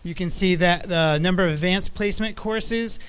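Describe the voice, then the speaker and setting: Loud-voiced man walking through slides. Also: high-pitched, normal speed, smooth. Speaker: school staff presenter. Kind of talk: explaining slides at a public meeting. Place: public meeting room.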